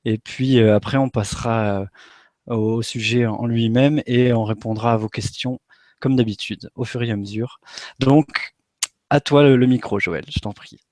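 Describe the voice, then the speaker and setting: A man hosting a live online conference, introducing his guest in a quick, friendly way with fillers. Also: medium pitch, fairly even intonation, darkish tone, no echo, clean studio sound. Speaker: host.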